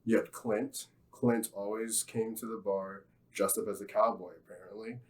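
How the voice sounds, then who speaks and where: A man's voice: lowish voice, steady tone, normal speed, darkish tone. A young man telling an anecdote casually, in a chatty, jokey way. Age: young adult. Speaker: student guest, fiction genre editor.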